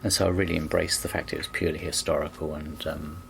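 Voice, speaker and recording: A man's voice: very deep voice, little pitch movement, quick pace, vocal fry, a bit bright, poor audio. A man who writes scripts, talking in a reflective, slightly hesitant way in an interview. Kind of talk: behind-the-scenes interview.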